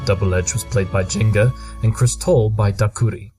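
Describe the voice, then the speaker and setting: An aloof detective stallion, played by a man, with a lowish voice and dry formality. He sounds dismissive as he presents radio-play credits over faint music. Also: normal speed, darkish tone, close to an everyday voice. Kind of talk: in-character credit reading, audio drama.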